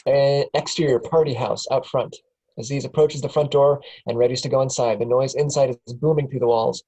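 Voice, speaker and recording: Brief: man reading action lines at a table read, husky voice, mid pitch. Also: fairly even intonation, brisk, dark tone, low-quality recording. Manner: plain reading, small chuckles and restarts. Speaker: narrator reading stage directions. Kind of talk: script table read narration.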